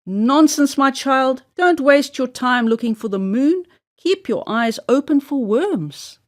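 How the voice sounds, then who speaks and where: A woman plays a motherly lady mole in a children's tale. She is brisk and dismissive, scolding in a fairly high voice with lively swings. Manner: scolding, matter-of-fact.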